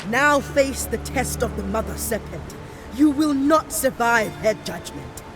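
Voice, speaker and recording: A woman's voice: a little high, sing-song, unhurried. A woman voicing a stern priestess of a sea goddess in a video game, solemn, formal and commanding. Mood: fierce, commanding. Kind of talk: dramatic video game acting. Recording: music behind the voice.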